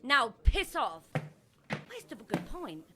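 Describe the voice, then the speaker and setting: An actress playing a brassy, sharp-tongued Victorian tavern madam in a stage drama, bossy and brash. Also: female voice, high-pitched, sing-song, takes it slowly, noisy background, full character voice. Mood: scolding. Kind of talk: theatrical stage drama acting.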